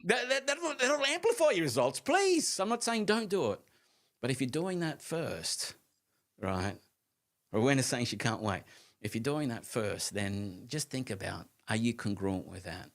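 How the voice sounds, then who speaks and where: A man in his late fifties co-hosting a casual conversation, talking in an animated, emphatic way with frequent restarts and the odd casual swear word. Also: mid-range voice, measured pace. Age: 50s. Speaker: co-host of a live conversation show.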